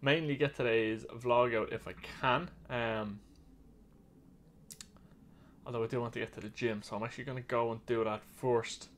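An Irish man in his thirties giving a rambling, relaxed vlog update from a small home office at his desk camera. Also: mid-range voice, moderate pace, pauses often, a little hiss or hum. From Ireland. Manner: relaxed, rambling, self-correcting. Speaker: vlog co-host. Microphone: webcam at desk.

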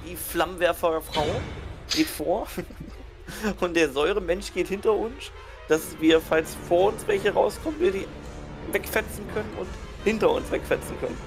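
A man's voice: high-pitched, normal speed, creaky. A man chatting casually as a player in a tabletop role-playing game, grumbling and sarcastic. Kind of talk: casual in-character game chat. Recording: music bed under voice.

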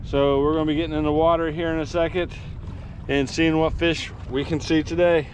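A man with a slightly high voice narrates his kayaking outing in an easygoing, chatty way. He talks outdoors into an action camera while standing in shallow sea water, and splashing water and open-air noise sit clearly behind him. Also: level tone, brisk. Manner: easygoing, chatty, laughs often. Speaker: kayaking channel host. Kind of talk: casual outdoor vlog narration.

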